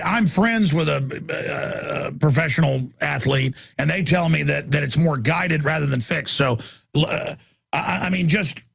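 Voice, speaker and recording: A man's voice: on the high side, gravelly, fairly bright tone, breathy edge, dry recording, studio quality. A man hosting a call-in radio talk show, talking emphatically in long rambling runs and often repeating his words.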